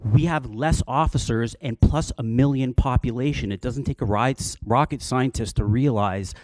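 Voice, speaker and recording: A man's voice: mid-range voice, normal speed, a little mellow, no echo, low-quality recording. A middle-aged man from Canada making an assertive, emphatic public comment at a meeting. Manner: assertive, emphatic, argues with statistics. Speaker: public deputant at a police board meeting.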